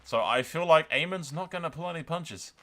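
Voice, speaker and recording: A man's voice: a little high, brisk, sharp tone. A man hosting a gaming video, giving casual, improvised commentary that drifts into dramatic storytelling, sweary and speaking straight to viewers.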